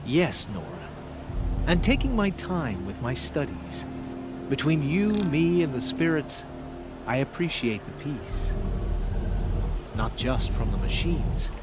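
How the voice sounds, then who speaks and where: Slow, contemplative shaman. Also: fairly high pitch, very animated. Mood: serene, reflective. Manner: measured, philosophical. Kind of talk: video game voice acting. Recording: loud ambient noise behind voice.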